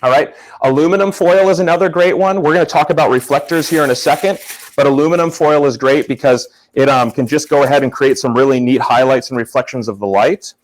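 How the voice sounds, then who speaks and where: Young man, loud and casual, walks viewers through product shots live; mid-pitched voice. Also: fast talker, rough recording. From Florida. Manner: casual, upbeat, talks to chat. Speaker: photography instructor. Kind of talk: live workshop demonstration.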